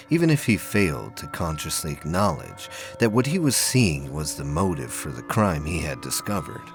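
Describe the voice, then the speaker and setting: A man delivers dramatic, scripted audio play narration with intense suspense. His voice is extremely deep with heavy fry, moving through a lively range over a music bed.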